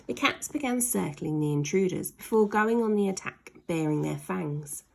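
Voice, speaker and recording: Husky woman reading stories very expressively. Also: fairly low pitch, expressive, moderate pace, a little mellow. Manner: dramatic, emphasises sound words. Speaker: storybook reader. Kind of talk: expressive children's story narration.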